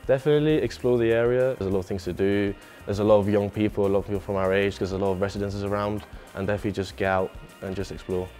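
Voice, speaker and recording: A man's voice: fairly low pitch, steady tone, very fast, hardly any pauses, a little mellow, soft background music. A young man from Spain, answering interview questions casually as a student in a residence common room. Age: young adult.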